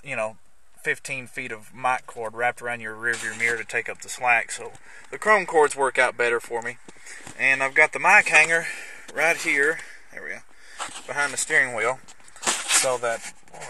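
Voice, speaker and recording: A man giving a casual, hands-on walkthrough as a video host, with somewhat halting, hesitant phrasing. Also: mid-range voice, sharp tone. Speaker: host of a how-to video on CB radio installation. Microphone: handheld camera's built-in microphone. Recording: clicky handheld camera audio, background noise.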